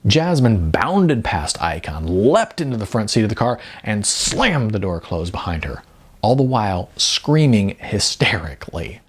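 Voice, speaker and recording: A man narrating a scripted story as an audiobook reader, with a gravelly, husky voice. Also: fairly low pitch, big pitch swings, a little slow.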